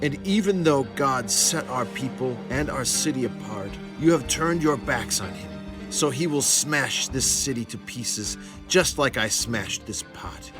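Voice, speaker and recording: An actor playing a stern, impassioned prophet in a children's Bible audio drama, speaking in solemn, declamatory warnings. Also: male voice, mid-range voice, lively intonation, measured pace, music in the background, natural voice.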